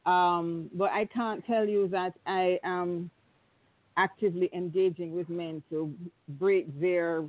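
A woman's voice: on the low side, fairly even intonation. A woman, a guest on a radio panel, speaking reflectively and restarting her sentences often. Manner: reflective, halting with many restarts. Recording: phone-like narrow sound.

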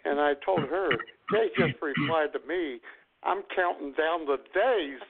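A man's voice: fairly high pitch, a little slow, breathy edge. A man co-hosting a radio talk show, joking and teasing his co-hosts. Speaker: co-host. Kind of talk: casual radio banter. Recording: phone-like lo-fi line.

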